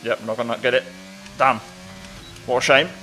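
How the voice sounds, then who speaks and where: A man giving live commentary on a game as he plays it, thinking out loud. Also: medium pitch, fairly even intonation, moderate pace, a little hiss or hum. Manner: thinks aloud while playing. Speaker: gaming video creator, player.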